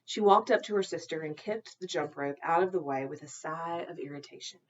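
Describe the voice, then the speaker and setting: A woman narrating a children's novel aloud in an expressive, deliberate storytelling manner. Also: low-pitched, lo-fi sound, slight background noise. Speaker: storytime reader, teacher.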